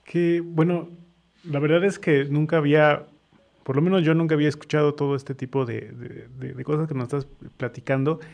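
A man's voice: fairly high pitch, steady tone, fairly quick, vocal fry, darkish tone. A Mexican man hosting a casual radio interview, talking in a hesitant, wordy way. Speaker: radio host.

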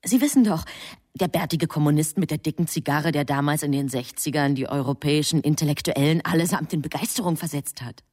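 Narrating angel, low-pitched, measured and polite, explaining patiently to a superior. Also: female voice, darkish tone. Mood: composed, explanatory. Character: composed angel reporting to an impatient superior. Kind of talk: scripted radio drama narration.

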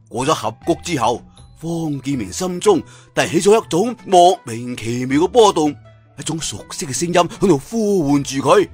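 Cantonese guy doing dramatic martial arts storytelling, bright raspy-ish voice, talks to listeners, faint music behind. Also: a little high. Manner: dramatic, conversational asides to listeners. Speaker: audiobook storyteller. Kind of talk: animated traditional oral storytelling of martial-arts tales.

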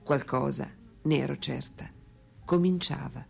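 An actress playing a lucid, passionate intellectual woman looking back, measured and reflective in a radio drama monologue. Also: rumbling low, vocal fry, husky, full character voice. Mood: wistful, hesitant. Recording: faint music behind the voice.

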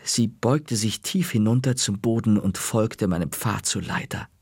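A man narrating a children's story in an expressive, unhurried way. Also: lowish voice, slightly rough, dry recording, studio quality.

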